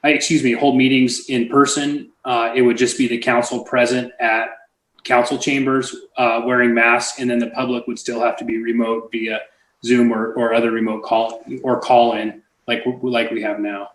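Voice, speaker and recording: A man on the city staff giving a measured, hedging explanation to the council. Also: mid-range voice, little pitch movement, a bit bright. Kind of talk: staff report in a council meeting. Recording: echoey room.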